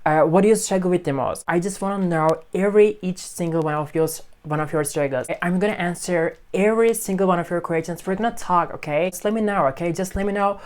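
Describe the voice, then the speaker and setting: A woman's voice: lowish voice, fast, few pauses, darkish tone. A woman from Turkey whose first language is Turkish speaks English with a Turkish accent, talking straight to her viewers in an earnest, encouraging way. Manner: earnest, encouraging, repeats "okay?" and "you know". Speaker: English-learning video creator. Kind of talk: casual talk to camera.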